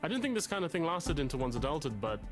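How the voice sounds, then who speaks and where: A man's voice: on the high side, sing-song, racing, music in the background. An actor playing a pompous, anxious, lovesick male literature student in a video game, speaking in a verbose, formal and hesitant way. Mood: smitten, self-conscious. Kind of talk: visual novel game voice acting.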